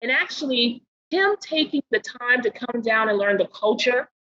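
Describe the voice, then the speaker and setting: A middle-aged woman from Barbados, a podcast guest, talking in an earnest, measured way and slowing down to stress her points. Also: on the high side, animated, bright, forceful tone, dull audio. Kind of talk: casual interview talk.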